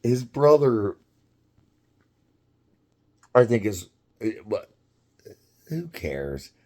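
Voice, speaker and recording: A man in his fifties telling a casual story in a rambling, halting way, often laughing as he talks. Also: mid-range voice, sing-song, mellow. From United States. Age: 50s.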